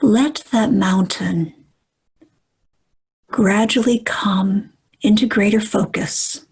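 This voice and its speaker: Female meditation guide; hushed, very airy tone, unhurried delivery, calm measured phrases with long pauses. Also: mid-range voice, low-quality recording. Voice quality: soft, breathy, at times near whisper.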